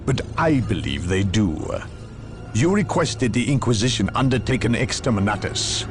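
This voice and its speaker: A man playing an imperious, coldly sardonic inquisitor in a sci-fi game, with a pompous, measured, condescending delivery. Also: lowish voice, lively intonation, over music, lightly acted. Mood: probing, measured. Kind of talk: dramatic sci-fi game acting.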